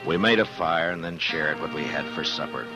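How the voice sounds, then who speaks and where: An actor playing a steady, plain-spoken frontier marshal in a 1950s radio drama, with a measured, sober delivery. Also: male voice, extremely low pitch. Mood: reflective. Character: steady, sympathetic frontier marshal recounting his travels. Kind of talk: 1950s radio drama acting, first-person narration. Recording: dull 1950s radio recording, music behind.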